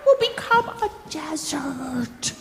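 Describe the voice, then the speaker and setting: A woman's voice: sits high, very animated, slow, gravelly, voice pushed up, full character voice. A woman voicing an earnest monkey giving an emphatic warning, in live storytelling. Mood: urgent warning. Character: earnest monkey warning a man about trees. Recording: echoey hall, faint background noise.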